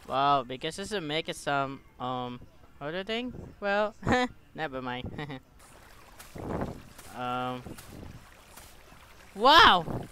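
An excitable streamer commentates over a game in halting English, with pitch that swings a lot. The delivery is slowish and full of exclamations, over clear background noise. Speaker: gaming streamer. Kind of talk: excitable gameplay commentary, reading game text aloud.

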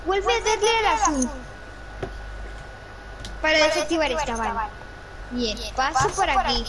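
A woman's voice: high-pitched, measured pace, a loud crowd. A female gamer chatting casually while directing a partner through a game step by step. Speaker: gamer playing co-op. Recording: clearly noisy home gaming recording.

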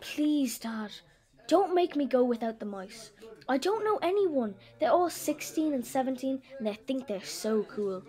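A woman playing a headstrong, chatty young girl in an expressive children's audio drama. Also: high-pitched, a little slow, soft background music. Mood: sulky, defiant. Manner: expressive, emphatic.

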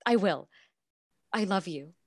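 An actress with an American accent playing a dissatisfied, vain young wife in a modern radio play, speaking in casual slang. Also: female voice, on the low side, lively intonation, slow, dry recording, clean studio sound, heavily acted. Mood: grateful.

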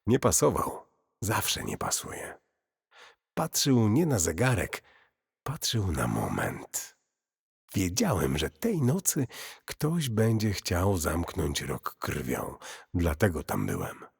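A man narrating a scripted first-person horror story in a grave, deliberate, brooding manner. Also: sits low, wildly swooping pitch, creaky, breathy edge. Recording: cavernous long reverb.

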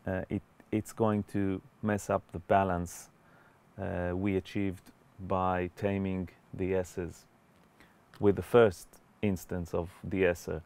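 Deep, gravelly man explaining audio mixing. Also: little pitch movement, takes it slowly, a little mellow. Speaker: music producer and mixing instructor. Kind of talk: explanatory webinar tutorial. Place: indoor recording studio.

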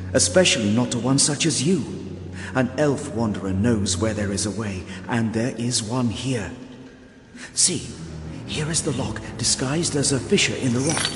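An actor playing a proud, stern elf prince in an audio drama, speaking with formal, commanding gravity. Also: male voice, mid-range voice, natural voice. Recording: echoing cavern reverb with music.

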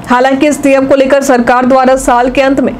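Young female news anchor: high, level voice, steady studio read, faint hiss. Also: a little fast. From India. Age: young adult. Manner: steady, even newsreading delivery.

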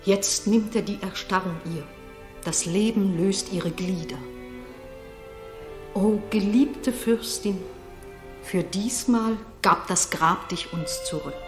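A woman's voice: mid-range voice, takes it slowly, lightly acted. An actress plays a mysterious noblewoman with authority over a royal court. She declaims solemnly in an old radio drama. The recording is roomy, with a little music behind. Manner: solemn, declamatory stage delivery. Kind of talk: 1960s German radio drama acting.

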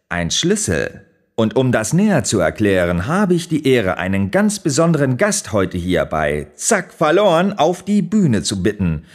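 A man playing a gushing, leering TV game show host in an audio drama, with theatrical, drawn-out showman delivery. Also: on the high side, full character voice. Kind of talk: German audio drama acting.

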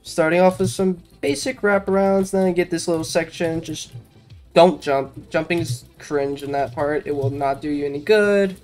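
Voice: medium pitch, steady tone, moderate pace, a little mellow. A casual game commentator talking through a walkthrough in an informal way, with self-mocking asides. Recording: music behind the voice. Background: game music.

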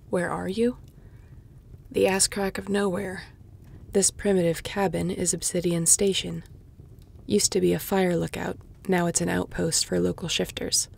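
A woman's voice: fairly low pitch, little pitch movement, a little slow, creaky, pauses often, husky, exaggerated voice. A woman playing a grumpy, tough shapeshifter guard who cares begrudgingly, speaking curtly and with weary sarcasm in an intimate audio roleplay. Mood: dry, matter-of-fact. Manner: curt, sarcastic, dry, weary.

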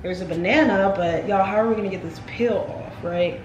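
A young woman vlogging from her home kitchen, chatting playfully to viewers as she makes a smoothie. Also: medium pitch, brisk, over music. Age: young adult. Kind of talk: casual vlog chat to camera. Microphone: camera on the counter. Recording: echoey kitchen with household noise.

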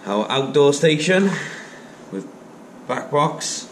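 A man's voice: fairly high pitch, sing-song, takes it slowly, background noise. A man in his thirties giving an informal, practical how-to product demonstration in a plain-spoken, explanatory way, recorded indoors on a camera microphone. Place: indoor showroom at a demonstration table.

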